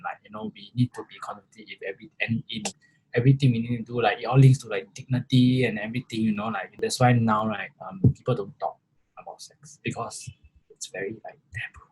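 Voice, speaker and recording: A man's voice: mid-range voice, darkish tone, breathy edge, low-quality recording. A man in his twenties with a Singaporean accent, talking loosely and casually as a guest on a panel. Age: 20s. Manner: loose, chatty, full of fillers.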